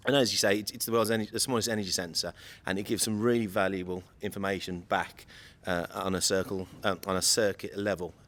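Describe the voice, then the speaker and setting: Quick-talking croaky man in his forties. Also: low-pitched, steady tone, a bit bright. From United Kingdom. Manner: chatty, repeats words while finding them, quick. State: slightly croaky voice. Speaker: guest from an electrical equipment maker. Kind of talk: casual podcast chat.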